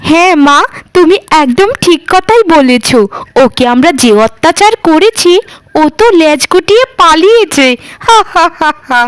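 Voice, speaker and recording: A woman's voice: very high-pitched, lively intonation, measured pace, no echo. A woman voicing a vain, spiteful young village daughter in a cartoon, with an exaggerated manner. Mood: smug, gloating. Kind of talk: Bengali cartoon voice acting.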